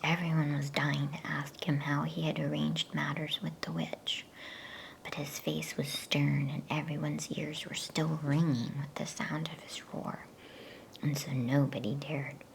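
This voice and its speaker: A woman whispers in a low, slightly creaky voice, gentle and soothing. She takes her time over every phrase in an ASMR bedtime-story style, breathy and unvoiced, with faint noise behind her. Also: little pitch movement, measured pace, poor audio. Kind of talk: whispered ASMR reading aloud of a children's novel.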